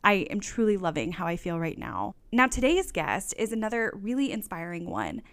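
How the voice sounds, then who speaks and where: A woman's voice: fairly low pitch, very animated, normal speed, no echo. A woman hosting a wellness podcast, speaking in an enthusiastic, upbeat way. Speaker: podcast host. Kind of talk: podcast interview host.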